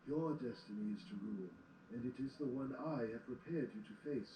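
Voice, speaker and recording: A man playing a solemn, world-weary old stallion mentor in an audio drama, speaking in measured, formal phrases. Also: medium pitch, warm tone, lightly acted. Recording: echoey, distant playback with background noise.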